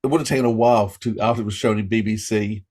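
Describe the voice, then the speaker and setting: A man's voice: lowish voice, steady tone, quick pace, darkish tone. A middle-aged man from Australia, hosting a casual interview and talking with eager, rambling restarts. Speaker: podcast host.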